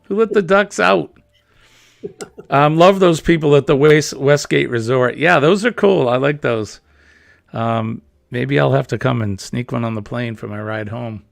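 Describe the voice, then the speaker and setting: A man hosting a relaxed online chat, reading out viewer comments between laughs. His voice has a gravelly, creaky edge and sits close and dry against the mic. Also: mid-range voice, a little slow, dry recording, faint music behind. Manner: chatty, reads viewer comments aloud with laughs. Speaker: host. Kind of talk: casual livestream chat.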